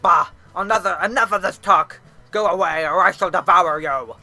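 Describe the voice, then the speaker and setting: A person voicing a menacing, boastful flying eyeball monster from a storybook, with drawn-out, growling, bombastic exclamations. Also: medium pitch, pushed and bright, faint music behind. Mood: menacing, scornful.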